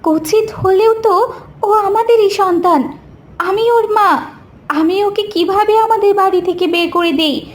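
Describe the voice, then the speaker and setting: A woman's voice: very high-pitched, unhurried, pitched above the performer's own voice. A woman voicing a devoted vixen wife and mother, earnest and emotional, in a cartoon fairy tale. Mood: hurt, indignant. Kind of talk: animated fairy-tale character voice.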